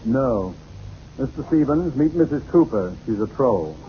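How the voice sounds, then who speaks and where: An exasperated, sarcastic boss, played by a man in an old radio comedy. He has a medium-pitched voice. The recording is dull and clearly noisy. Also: animated, a little slow, natural voice. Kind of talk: 1940s radio comedy acting.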